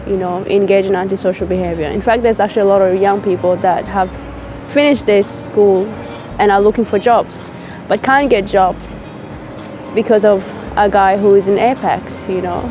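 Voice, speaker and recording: A woman's voice: medium pitch, fairly even intonation, normal speed, background noise. A young woman, speaking thoughtfully in a documentary interview outdoors in a park. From South Sudan. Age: young adult.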